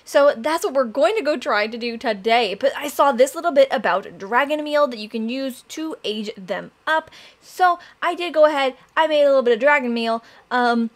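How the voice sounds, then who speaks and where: A young woman hosting a Minecraft let's play, chatting excitedly to viewers while her voice is raspy from allergies and she keeps clearing her throat. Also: high-pitched. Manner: chatty, excitable, addresses "you guys" often. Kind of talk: casual gameplay commentary.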